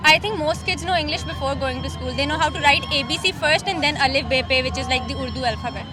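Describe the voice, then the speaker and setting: Fast-talking high-voiced woman. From Pakistan. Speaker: interviewee. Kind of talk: casual street interview answers. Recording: outdoor street with crowd and music.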